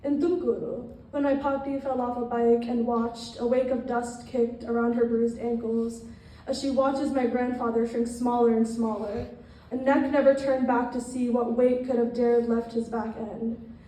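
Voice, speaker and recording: Woman poet, mellow tone, measured open mic recitation, recorded some way from the mic. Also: on the high side, level tone. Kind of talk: spoken-word poetry reading. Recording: very echoey room, distant mic.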